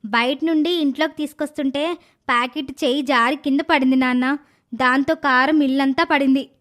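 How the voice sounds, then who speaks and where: Woman voicing a high-pitched spiteful young girl. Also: steady tone, normal speed, a bit bright. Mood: evasive. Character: spiteful young village girl, scheming stepsister. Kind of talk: animated moral story dialogue.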